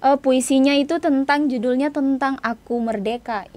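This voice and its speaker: Young adult female interview guest, slightly high voice, informal, hesitant phrasing. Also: normal speed, darkish tone. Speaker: interview guest, student writer. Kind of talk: casual interview chat.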